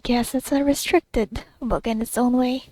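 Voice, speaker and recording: A woman's voice: a little high, steady tone, measured pace, warm tone, low-quality recording. A woman playing a video game and giving casual commentary to herself as she goes. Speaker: video game player recording a playthrough.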